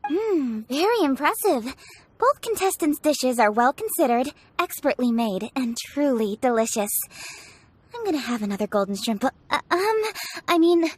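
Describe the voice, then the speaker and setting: A woman's voice: high voice, no echo. A woman voicing a dignified but greedy cooking judge in a video game, formal in manner. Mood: impressed. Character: dignified female judge, secretly greedy, easily flustered. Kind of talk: video game character acting.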